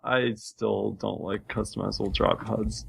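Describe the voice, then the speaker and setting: A man's voice: fairly low pitch, fairly even intonation, a little slow, gravelly, husky. A man co-hosting a gaming podcast in casual, informal talk. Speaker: podcast co-host.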